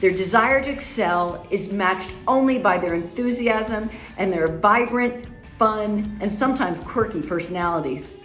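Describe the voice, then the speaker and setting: A woman's voice: medium pitch, measured pace, fairly bright tone. A woman delivering a scripted testimonial in polished, prepared sentences. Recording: roomy echo with music behind.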